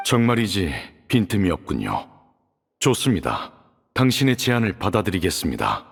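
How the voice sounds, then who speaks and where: A deep, dignified male judge. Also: wildly swooping pitch, normal speed, breathy edge, heavily acted. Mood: grave. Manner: polite, grave and formal. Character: calm, dignified male judge, formal and grave. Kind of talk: video game voice acting. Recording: reverberant game audio with music.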